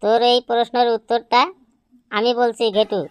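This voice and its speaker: A woman's voice: fairly high pitch, flat delivery, normal speed, clean tone, no echo, close to an everyday voice. A woman voicing cheeky schoolboys in a comedy cartoon, complaining and pleading in an exaggerated way. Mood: curious, teasing. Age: child figure. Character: cheeky village schoolboys grumbling and questioning teacher.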